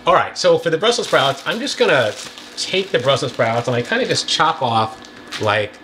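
A man's voice: moderate pace, slight background noise. A middle-aged man co-hosting a cooking show, casually walking through his meal prep in a home kitchen with many fillers. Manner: easygoing, lots of fillers, pitch jumps up when excited. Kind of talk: casual cooking demo chat.

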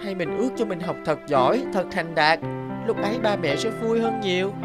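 A woman's voice: medium pitch, brisk, natural voice. Earnest young schoolboy voiced by a woman, music behind. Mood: pondering. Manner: earnest, musing. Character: thoughtful, kind young schoolboy. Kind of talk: animated cartoon dubbing. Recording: prominent music under the voice.

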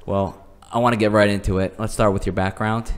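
A man's voice: lowish voice, flat delivery, fairly quick, smooth. An American man hosting a podcast, reading an upbeat intro and asking interview questions. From United States. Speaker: podcast host.

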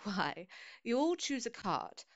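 Prim, haughty Kate. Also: female voice, a little high, very animated, slow pace, highs cut off. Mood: condescending. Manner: condescending, prim. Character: haughty young English woman, Kate.

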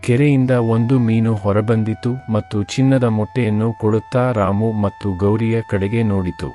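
Low-voiced man narrating a cartoon story. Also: steady tone, normal speed, warm tone, soft background music.

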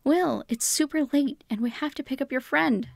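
Woman playing a caring, higher-voiced mother. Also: normal speed, gravelly, no echo, studio quality. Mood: nagging. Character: caring, encouraging mother of a teenager. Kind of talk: fan comic dub acting.

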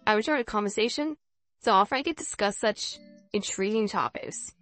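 A thoughtful young woman from a visual novel, acted by a woman, curious and polite. She speaks at a middling pitch in formal, measured sentences, over faint music. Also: a bit bright, close to an everyday voice. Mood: pleasant, curious. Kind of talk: visual novel character dialogue.

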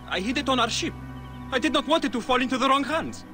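Shrill, frightened Egyptian prisoner. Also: male voice, animated, quick pace. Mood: defensive. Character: frightened, indignant male prisoner in ancient Egypt. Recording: loud music behind.

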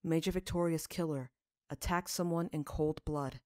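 A woman voicing a gentle, weary, earnest father in a story reading, speaking in a measured way. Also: deep voice, fairly even intonation, dry recording, studio quality, natural voice.

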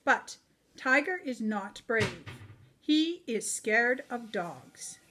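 A middle-aged woman reading a children's storybook aloud in an expressive, deliberate way for young listeners. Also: fairly high pitch, a little hiss or hum.

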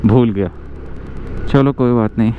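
A man's voice: medium pitch, a little slow, dull audio, background noise. Chatty man vlogging on a scooter. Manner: chatty, mixes Hindi and English, jokey complaints. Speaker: motovlogger. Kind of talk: casual vlog chat while riding. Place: outdoors on a scooter on hill roads.

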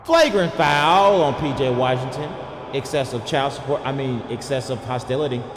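A man's voice: medium pitch, lively intonation, moderate pace, noisy background. A man doing a profane comedic sports voiceover in slangy, emphatic, mock-serious speech.

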